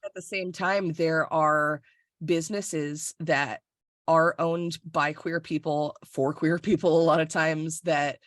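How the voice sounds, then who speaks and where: A woman's voice: deep voice, steady tone, slow, no echo, studio quality. A woman from Florida co-hosting a podcast, chatting casually and bluntly over a video call, swearing freely and laughing often. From Florida, USA. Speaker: podcast co-host. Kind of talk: casual chat. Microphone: recorded over a video call.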